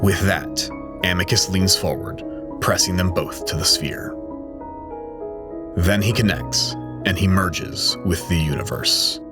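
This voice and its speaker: Deep-voiced male narrator over loud music. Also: slow pace, long pauses. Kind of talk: dramatic scripted third-person narration.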